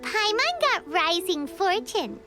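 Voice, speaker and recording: A tiny floating fairy sidekick from a video game. The voice is extremely high, squeaky and childlike, swinging up and down with cheeky excitement. It takes its time over the words, with prominent music behind it. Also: female voice, slow pace, exaggerated voice. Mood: cheerful. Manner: refers to self in third person, whiny and exclamatory. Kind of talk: video game character dialogue.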